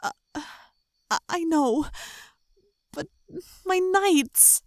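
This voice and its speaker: Young princess, female actor: high, very slow and halting, fearful, fantasy audio drama. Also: expressive, no echo, clean studio sound, heavily acted. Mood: frightened, hesitant. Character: frightened young princess, hesitant and worried for her knights.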